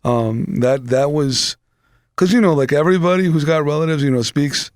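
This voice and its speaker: A man's voice: on the high side, normal speed, no room sound. A man, chatting loosely on a podcast into a close studio mic, profane and full of fillers. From New York City. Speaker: podcast co-host. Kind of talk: casual chat. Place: small studio room, indoors.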